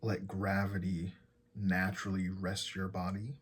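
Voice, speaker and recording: A man leading a guided meditation in a soft, breathy, husky voice, giving calm and gentle instructions with long pauses. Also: low voice.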